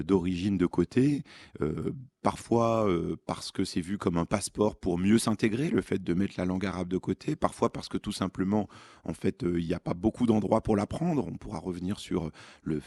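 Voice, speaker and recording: A man's voice: deep voice, normal speed, mellow, dry recording. A man in his forties, a journalist being interviewed on radio, speaking reflectively and earnestly with frequent hesitations. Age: 40s. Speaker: journalist and author, studio guest. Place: radio studio.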